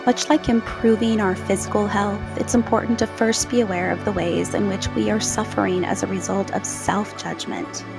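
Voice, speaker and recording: Female meditation guide, mid pitch, gentle soothing pauses, clear new-age music bed. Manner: slow, soothing, soft-spoken with gentle pauses.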